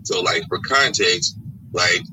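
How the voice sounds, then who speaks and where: A man from Atlanta with an Atlanta Southern accent, a podcast guest talking in a rambling, animated way and laughing often. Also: deep voice, takes it slowly. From Atlanta, Georgia. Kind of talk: casual storytelling chat. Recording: phone call with faint background noise.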